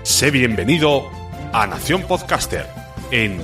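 A man's voice: medium pitch, big pitch swings, slow, bright, forceful tone, distant mic, soft background music. A man reading a dramatic scripted podcast promo as an announcer.

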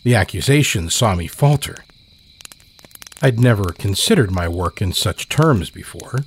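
A man reads a scary story in a dramatic, deliberate style. His voice sits a little low and he takes his time, leaving heavy pauses. Faint night insects sound behind him. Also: lively intonation, slight background noise. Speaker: horror story narrator. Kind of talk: dramatic scripted narration.

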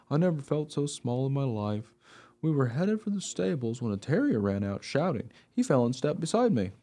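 A good-natured, unpretentious mongrel dog played by a man tells his tale in a radio play. The voice is mellow and relaxed, with animated pitch swings and a wry, conversational manner. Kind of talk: radio play first-person narration.

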